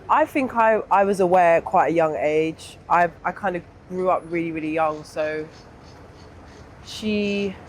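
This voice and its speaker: A young adult woman with a southern English accent speaks candidly and informally in an interview, pausing to think as she reflects. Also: fairly low pitch, a little hiss or hum. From England. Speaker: interview guest.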